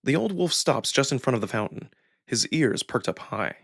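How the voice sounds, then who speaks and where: A man's voice: mid-range voice, lively intonation, normal speed, creaky, a bit bright, breathy edge, no room sound, studio quality. A man reading first-person narration from a visual novel in a dramatic storytelling style. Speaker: narrator and voice performer.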